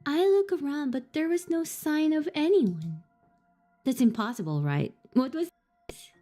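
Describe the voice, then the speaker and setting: A woman's voice: high voice, expressive, measured pace, mellow, soft background music. A woman streaming a horror visual novel, reading the on-screen text aloud between giggles and startled swearing. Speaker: streamer playing a horror game.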